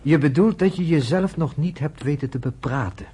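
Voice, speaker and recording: An actor in a Dutch radio play voicing a wry, fatherly, teasing man with a husky voice. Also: fairly high pitch, normal speed, mellow. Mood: teasing, sardonic. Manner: sardonic, fatherly. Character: wry, teasing American man, friend of a nurse.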